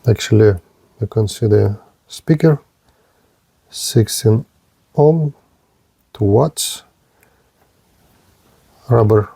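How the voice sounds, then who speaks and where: Slow, halting man demonstrating a gadget. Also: lowish voice, creaky, warm tone, slightly rough, no room sound. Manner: halting, short fragmented phrases. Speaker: gadget reviewer presenting a radio. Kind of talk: unscripted hands-on product demonstration.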